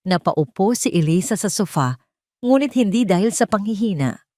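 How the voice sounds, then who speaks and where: A woman's voice: mid-range voice, a little mellow, dry recording, clean studio sound. A woman with a Filipino accent narrates a scripted dramatic story as an audio drama storyteller.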